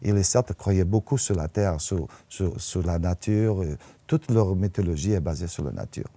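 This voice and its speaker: An older man, in his sixties, with a Portuguese accent speaks in a low, relaxed voice, recorded close and dry. He talks conversationally, as in an interview, repeating words while he looks for the right phrase. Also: normal speed, dry recording. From northern Portugal. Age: 60s. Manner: hesitant, repeats words as they search for phrasing. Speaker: interviewed writer and sociologist. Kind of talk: conversational interview, personal anecdotes.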